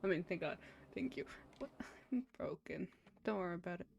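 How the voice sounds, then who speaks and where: A woman's voice: on the low side, lively intonation, fairly quick, creaky, a little mellow. A woman livestreamer giving casual, chatty gameplay commentary, thinking aloud in fragments. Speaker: game livestreamer. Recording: faint background noise behind voice.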